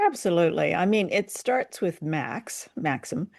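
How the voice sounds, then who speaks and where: A woman's voice: on the low side, animated, a little slow, creaky, a little mellow, breathy edge. A woman hosting a podcast in a casual, conversational review style. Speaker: podcast host.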